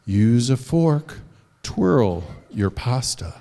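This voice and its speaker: A man voicing a strict, prim wife of a wizard, speaking slowly and quietly. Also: mid-range voice, sing-song, creaky, heavily acted. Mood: stern. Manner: stern, slow, quiet.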